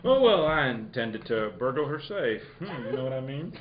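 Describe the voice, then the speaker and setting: Cheeky British detective, played by a man, mid-pitched, lively intonation, halting mock-formal radio comedy read. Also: close to an everyday voice. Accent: put-on British. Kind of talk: comic live reading of a radio play. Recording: dull, roomy live recording.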